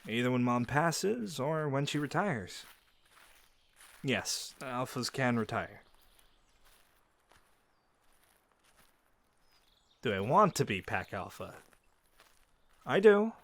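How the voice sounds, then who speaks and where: An actor playing a friendly young male werewolf who shows a silent listener around his pack's home, easygoing and quick to laugh. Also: medium pitch, big pitch swings, a bit bright, heavily acted. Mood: relaxed. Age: young adult. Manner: easygoing, laughs often, answers unheard questions. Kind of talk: audio roleplay to a silent listener. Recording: dry close recording.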